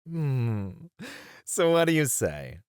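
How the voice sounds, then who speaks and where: Slow, purring, teasing demon played by an actor. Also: medium pitch, sing-song, clear, resonant tone, dry recording, clean studio sound. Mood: coaxing, impressed. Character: suave, teasing, dominant flirty demon. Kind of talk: flirty ASMR roleplay acting.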